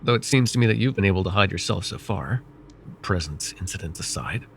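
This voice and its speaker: A man voicing a vain, wry, middle-aged male mage who counsels a student in an ASMR roleplay, speaking in a calm, measured and gently teasing way. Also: deep voice, gravelly, a little hiss or hum. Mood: calm reassuring. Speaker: voice actor.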